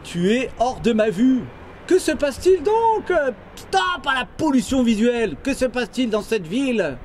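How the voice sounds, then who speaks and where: A man's voice: very high voice, big pitch swings, normal speed, darkish tone. A man interviewing passers-by on a city street, asking quizzical, wry questions from behind the camera. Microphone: camera mic, speaker behind it. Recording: distant voice, crowd and traffic noise.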